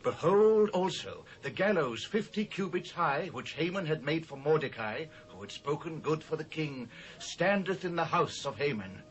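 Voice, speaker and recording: A man's voice: fairly high pitch, lively intonation, normal speed, lightly acted, rough recording. A British man playing a dutiful royal chamberlain in a scripture audio drama, speaking formally. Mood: pointed, formal. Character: dutiful royal chamberlain reporting to his king.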